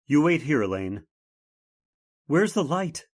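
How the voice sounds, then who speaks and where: A man playing a concerned, steady young hero in old-time radio horror, speaking in an earnest, dramatic way. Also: fairly high pitch, slow pace, a little hiss or hum. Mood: grave.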